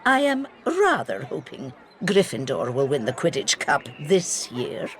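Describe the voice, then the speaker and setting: A woman's voice: very deep voice, huge pitch swings, unhurried, exaggerated voice. A proper older schoolmistress in a video game. She is stern but kindly, with clipped, admonishing phrases that rise and fall sharply. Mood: brisk, encouraging.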